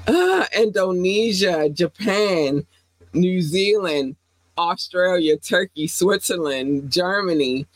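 A woman hosting her own podcast in a loose, rambling chat. She is warm-hearted and affectionate, laughs often, and her voice leaps up into excited squeals. The sound is dry and close, with no room echo. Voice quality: affectionate, calls listeners "baby", jumps into high squeals when excited. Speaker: podcast host. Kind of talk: casual solo podcast chat with shout-outs.

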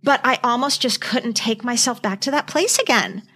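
Expressive middle-aged woman chatting. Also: fairly high pitch, normal speed, breathy edge. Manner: emphatic, expressive storytelling. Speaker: podcast host. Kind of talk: casual chat.

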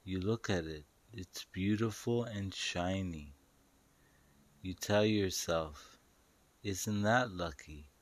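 Deep-voiced, slow-speaking male meditation guide. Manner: deliberate, short pausing phrases, instructing the listener.

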